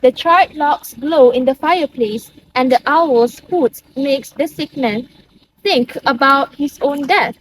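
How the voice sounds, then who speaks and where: A woman's voice: high-pitched, a little hiss or hum, low-quality recording. A young woman giving an amateur dramatic reading of commanding stage-play characters in modernised Shakespeare, as nobles and fairies. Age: young adult.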